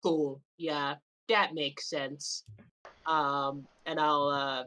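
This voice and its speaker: Game player, female, slightly low and bright voice, hesitant, unhurried casual delivery. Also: little pitch movement, rough recording. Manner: hesitant, halting, many long hesitations.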